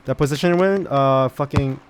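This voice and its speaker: A man's voice: medium pitch, brisk. A man making casual team callouts as a squad leader in an online shooter game, over voice chat. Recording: noisy game voice chat, gunfire. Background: video game gunfire.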